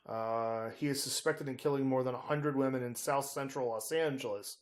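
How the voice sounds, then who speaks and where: A man's voice: mid-range voice, fairly even intonation, moderate pace. A man co-hosting a casual true-crime podcast, talking conversationally and often groping for words and names. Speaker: podcast co-host.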